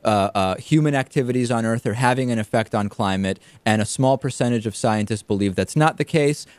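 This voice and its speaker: A man with an American accent hosting a talk show, giving articulate, measured political commentary and interviews in a conversational way. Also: mid-range voice, darkish tone, no echo.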